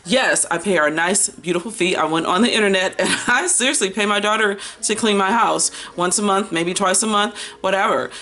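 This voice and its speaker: An American woman in her fifties talking casually as a book vlogger, chatty and animated, wandering off on tangents and drawing out words for emphasis. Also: mid-range voice, a little fast, few pauses. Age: 50s. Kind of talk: casual rambling chat to camera.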